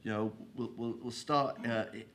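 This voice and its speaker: A man's voice: mid-range voice, fairly even intonation, normal speed, fairly bright tone. A man chairing a conference panel, talking with many fillers, restarts and "you know"s. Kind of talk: hesitant conference moderation.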